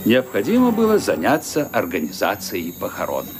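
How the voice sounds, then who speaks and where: A man's voice: lowish voice, wildly swooping pitch, moderate pace, music in the background. A man reading the narration of a radio play with theatrical expression.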